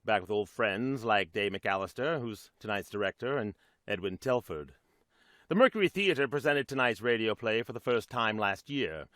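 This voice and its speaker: An actor playing an urbane, droll host of 1940s radio ghost stories, speaking in a deliberate, grandiloquent way. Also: male voice, fairly low pitch, animated, fairly bright tone, no echo, studio quality. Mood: genial, measured. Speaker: program host.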